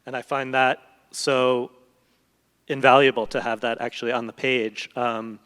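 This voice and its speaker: Middle-aged man, clear smooth voice, reflective and hesitant, winding sentences, long hall reverb. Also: medium pitch, little pitch movement, unhurried, fairly bright tone. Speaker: literary translator, guest speaker. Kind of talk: on-stage conversation, reflective and unscripted. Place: large event hall stage.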